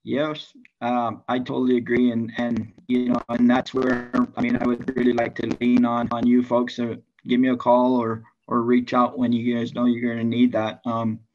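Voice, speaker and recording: A man from Hawaii with a Hawaiian accent, a baseball coach talking casually to a club meeting over a video call, halting with many restarts and fillers. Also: mid-range voice, completely flat delivery. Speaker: high school baseball coach. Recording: dull lo-fi sound.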